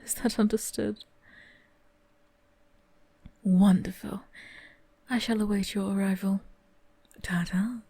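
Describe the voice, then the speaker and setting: Brief: woman, icy commanding whisper, breathy, mellow, unhurried. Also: mid-range voice, steady tone. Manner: cold, commanding, often whispered. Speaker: channel host acting a cold manor mistress. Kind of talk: ASMR roleplay acting.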